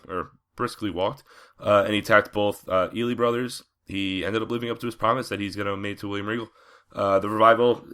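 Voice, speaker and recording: A low-pitched man with a bright, forward tone, talking through a casual podcast recap. His throat is a bit raspy and scratchy from a cold coming on, and he fills pauses with plenty of "uh"s. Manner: conversational with frequent fillers. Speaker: podcast host.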